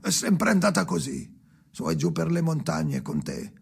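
An actor playing a bitter, anguished man speaking to his dead father in a literary radio drama, in halting short phrases, husky and gravelly, often sinking into a whisper. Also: on the high side, lively intonation, slight background noise. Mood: sardonic, fed up.

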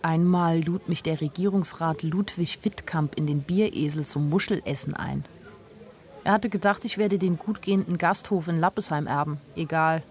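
A woman's voice: low voice, normal speed, a little mellow, lightly acted. An actress playing a sensitive young woman who tells her own story in an intimate, literary radio drama narration. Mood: reflective. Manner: literary, intimate first-person telling.

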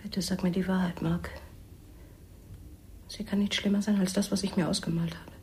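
Actress as wounded, sarcastic jealous wife. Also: female voice, lowish voice, level tone, normal speed, darkish tone, slight background noise, close to an everyday voice. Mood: pleading, suspicious. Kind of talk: German radio crime drama acting.

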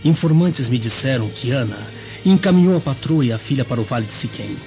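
An actor playing a discreet, matter-of-fact Roman informant in a radio drama. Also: male voice, mid-range voice, animated, fairly quick, warm tone, close to an everyday voice. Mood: confiding. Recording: dull lo-fi audio with music behind.

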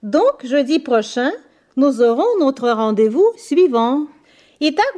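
A woman hosting a scripted bilingual radio language lesson as guide and interpreter, formal and deliberate, repeating phrases. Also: high voice, dark tone.

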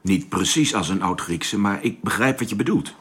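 Low, husky spaceship captain. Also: male voice, animated, normal speed. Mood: cautious, firm. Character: level-headed, cautious male spaceship captain. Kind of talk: radio drama acting.

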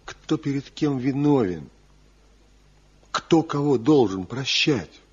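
A man's voice: mid-range voice, lively intonation, takes it slowly, breathy edge, full character voice. An actor playing a defiant, embittered craftsman and rival in a Soviet radio play, with a challenging, theatrical delivery. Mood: bitter, cold. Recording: dull, muffled 1970 radio play recording.

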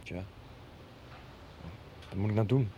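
A hesitant working man with a low, mellow voice. He sounds worried and torn. It is a radio soap heard over faint street noise. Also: slow pace, highs cut off. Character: reluctant working man torn about leaving.

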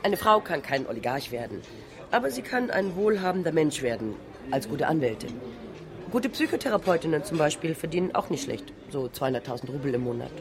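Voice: mid-range voice, sing-song, fairly quick, a crowd in the background. A translator's voice reading an interviewee's words for a radio documentary. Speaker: voice-over translator. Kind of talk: documentary voice-over.

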